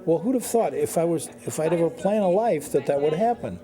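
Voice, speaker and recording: A man in his seventies with an American accent, a teacher reminiscing casually in a folksy, halting way with short phrases. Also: on the high side, expressive, dark tone. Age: 70s. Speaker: high school teacher.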